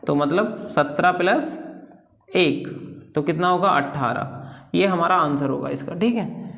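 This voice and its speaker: A man with a fairly high voice gives an informal lesson in a very echoey room. He explains one step at a time, repeating himself and asking questions before answering them. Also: gravelly. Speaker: maths teacher.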